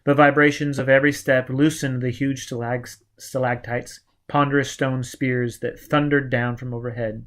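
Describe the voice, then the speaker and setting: A man's voice: medium pitch, level tone, normal speed, few pauses, fairly bright tone. Male audiobook reader, middle register, for dramatic narration of adventure fiction. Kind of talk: scripted dramatic narration of a novel.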